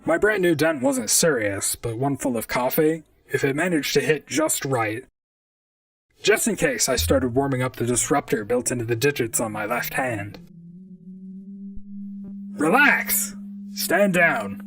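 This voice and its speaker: A robot gumshoe in a radio-style drama, dry-witted and chatty. The pitch swoops widely over a gravelly, creaky edge. The voice sits slightly back from the mic in a dry space. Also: huge pitch swings, unhurried, a bit bright, recorded from a distance, full character voice. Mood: wry, calm. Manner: dry, conversational first-person noir narration. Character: wry robot private detective, talkative and unflappable. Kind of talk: audio drama acting and narration.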